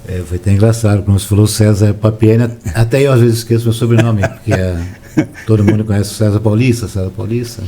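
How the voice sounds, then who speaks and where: Relaxed older man. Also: fairly low pitch, fast. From São Paulo, Brazil. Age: 60s. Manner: relaxed, reminiscing storyteller, soft-spoken. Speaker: interview guest, former footballer. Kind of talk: casual interview.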